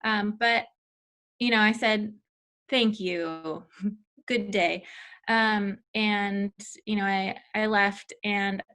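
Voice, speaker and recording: A woman who founded a company, speaking candidly to an audience with a rather high-pitched voice and many fillers. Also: steady tone, drawn-out words, pushed and bright. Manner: candid, filler-heavy speech. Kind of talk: personal talk to an audience.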